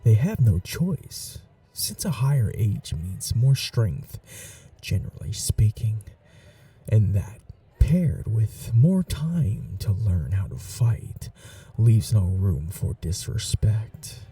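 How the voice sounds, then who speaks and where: A man voicing an ancient royal vampire lord in an ASMR roleplay, suave and flirtatious, speaking slowly with low breathy whispers. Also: sing-song, recorded from a distance, exaggerated voice. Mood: seductive. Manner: slow, seductive, softly whispering.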